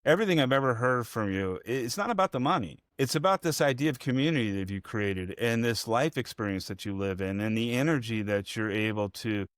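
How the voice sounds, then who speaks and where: A man's voice: medium pitch, lively intonation, fairly quick, hardly any pauses, fairly bright tone, no echo, studio quality. A man hosting an interview, speaking in a reflective, rambling, motivational way. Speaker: podcast host. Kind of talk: conversational interview.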